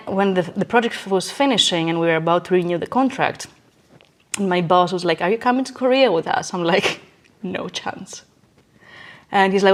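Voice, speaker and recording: A woman speaking as an interview guest, telling a personal story in a candid, emphatic, conversational way and often checking in with the listener. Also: mid-range voice.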